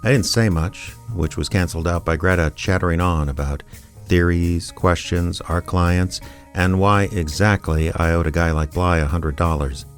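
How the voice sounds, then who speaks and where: Guy acting as a deadpan small town detective, real low voice, radio drama with music under it. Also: moderate pace, close to an everyday voice. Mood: wry, musing. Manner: dry, deadpan, conversational. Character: wry small-town male private investigator, world-weary narrator. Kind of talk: first-person detective radio drama narration.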